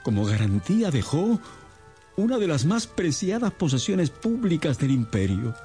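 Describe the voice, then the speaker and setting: Un actor que interpreta a un banquero maduro, imponente y angustiado que cuenta su caso en una radionovela. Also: male voice, on the high side, very animated, normal speed, mellow, faint music behind. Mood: grave, anxious. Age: middle-aged. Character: imposing, mature, haggard male banker, anxious. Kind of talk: radio drama acting.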